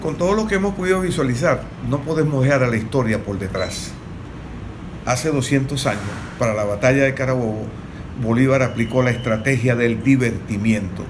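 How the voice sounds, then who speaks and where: A Venezuelan man speaking as an analyst on a political panel, explaining in a didactic, measured way. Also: medium pitch. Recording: clearly noisy recording.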